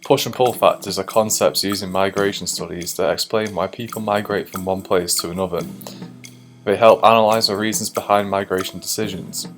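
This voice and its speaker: A man gives scripted documentary narration in a steady, explanatory tone. His voice sits very low, and clear guitar music plays beneath him. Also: fairly quick.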